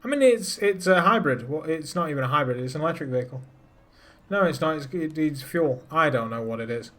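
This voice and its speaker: A man's voice: fairly high pitch, lively intonation, fairly quick, bright, forceful tone. Joking man streaming a space game. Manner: casual, joking, self-deprecating banter; chats to viewers while piloting. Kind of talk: live gameplay commentary.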